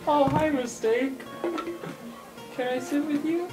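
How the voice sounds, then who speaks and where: Casting: wistful young student druid, played by a woman, hesitant and plain-spoken, slightly high voice, music behind. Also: fairly even intonation, slow, rough recording. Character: Shelley, wistful student druid missing her cat.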